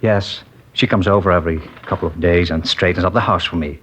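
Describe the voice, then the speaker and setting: An actor playing a mild, trusting older man who owns a small dress factory, talking in a gentle, hesitant and rambling way in a 1950s radio drama. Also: low voice, steady tone, brisk, slight background noise. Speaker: witness in a police interview. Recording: muffled vintage radio broadcast.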